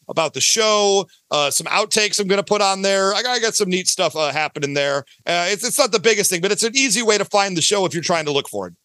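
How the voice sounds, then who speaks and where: A man's voice: sits high, bright, forceful tone, no room sound. A talkative, enthusiastic man chatting casually as a podcast guest, promoting his own work in a self-deprecating way. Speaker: podcast guest, audio drama creator.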